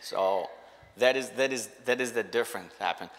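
Middle-aged male, Iraqi accent, Arabic first language. Lowish voice, earnest, halting personal testimony into a mic in a reverberant hall. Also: a bit bright. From Iraq. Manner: halting, earnest non-native English. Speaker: church guest giving testimony. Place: church sanctuary stage. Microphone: handheld microphone on a stand. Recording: reverberant church hall sound.

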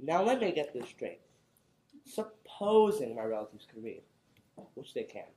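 A simple, literal-minded male villager played by a young man in a comic stage play. He is blunt and baffled, with very lively intonation. The sound is roomy, as from a theatre stage. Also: mid-range voice, unhurried. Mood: earnest, literal. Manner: baffled, blunt, rustic phrasing. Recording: roomy, echoey stage recording.

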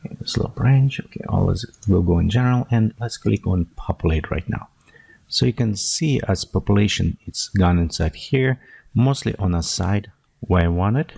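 Tutorial narrator: man, deep gravelly husky voice, dark tone, dry close mic, thinks aloud while clicking. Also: moderate pace, no room sound. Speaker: software tutorial instructor. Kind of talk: screen-recorded software tutorial.

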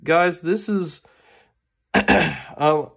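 A man with a hoarse, worn voice still on the mend, talking at a desk in an easy, keen show-and-tell way. He clears his throat often and leans on fillers while he enthuses. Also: a little high, extremely slow. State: hoarse, recovering lost voice. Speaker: knife channel host. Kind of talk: casual product show-and-tell. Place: indoors at a desk. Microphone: overhead camera on table, hands only.

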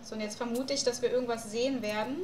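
A woman's voice: on the high side, steady tone, fast talker, a little mellow, roomy sound, a little hiss or hum. A young woman doing casual let's play commentary, thinking aloud through puzzles at home on a mic. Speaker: let's player.

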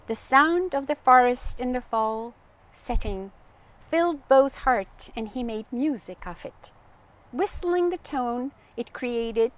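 An older woman reading a children's story aloud as a storytime host, in a gentle, expressive manner that addresses young listeners. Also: on the high side. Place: outdoors on a garden bench.

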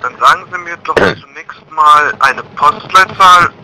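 A man answers as a courier service agent. His voice is fairly high and extremely bright, heard over a phone line with faint noise. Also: measured pace. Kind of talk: customer service phone call. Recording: noisy telephone line, harsh sound.

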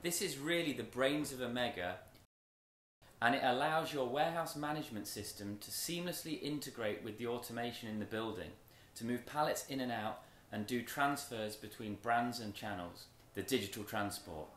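A man giving a rehearsed product launch presentation in measured, emphatic short phrases with deliberate pauses, as a company presenter. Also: mid-range voice, fairly bright tone, slight background noise. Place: stage in a large event tent with audience. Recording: roomy echo from event PA.

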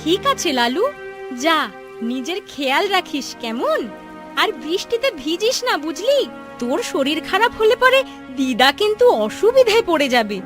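A woman's voice: very high voice, big pitch swings, moderate pace, music in the background. A woman voicing a caring, outspoken young village woman who advises like a protective elder sister in a cartoon. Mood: concerned. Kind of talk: cartoon story dialogue.

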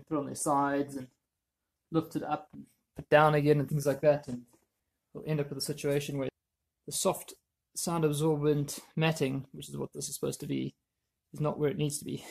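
A man presenting a hands-on how-to in a casual, offhand way, thinking aloud as he works, with a husky, creaky voice. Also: a little high, a little mellow. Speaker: DIY video presenter.

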